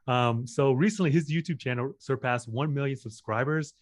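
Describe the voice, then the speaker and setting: A man's voice: medium pitch, moderate pace, no room sound, clean studio sound. A man hosting a personal finance interview, asking questions in a casual way with many fillers. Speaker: host.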